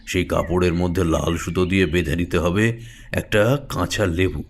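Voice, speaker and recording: Deep-voiced, genial old tantric Taranath. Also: male voice, a little hiss or hum. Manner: avuncular, unhurried, reassuring. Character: Taranath, older male tantric storyteller, genial and knowing. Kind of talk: Bengali audio story acting.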